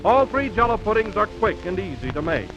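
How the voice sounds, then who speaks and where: Male American announcer, high voice, polished 1930s sales read, muffled vintage radio sound with music. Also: sing-song, a little fast.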